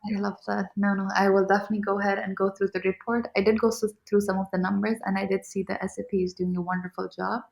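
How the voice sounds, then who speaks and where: Young female interviewer from Pakistan; gravelly, even delivery; polite and affirming. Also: medium pitch, fast talker, hardly any pauses. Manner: polite, affirming, thanks the guest often. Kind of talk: interview questions.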